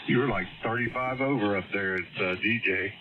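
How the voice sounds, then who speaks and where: A man's voice: lowish voice, fairly quick. A man chatting casually as an amateur radio operator over the air. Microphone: amateur radio transmission. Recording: narrow phone-like radio signal, loud static.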